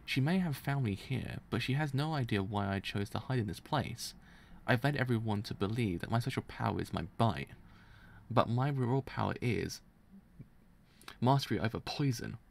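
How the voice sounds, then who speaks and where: A cunning, venomous beast fighter from a story, read by a man. The voice is creaky and mid-pitched, scheming, full of disdain and trusting nobody. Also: normal speed. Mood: scornful, scheming.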